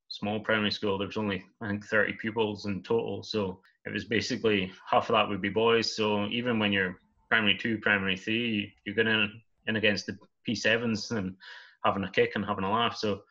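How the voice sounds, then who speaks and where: A Scottish man from Scotland, a guest chatting in a relaxed, rambling, easygoing way over a dull remote call. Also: lowish voice. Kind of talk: casual interview chat.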